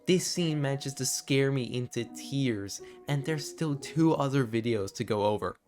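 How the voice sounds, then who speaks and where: A man narrating a scripted video essay in an expressive, informal and confiding way, with casual asides. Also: mid-range voice, measured pace, soft background music.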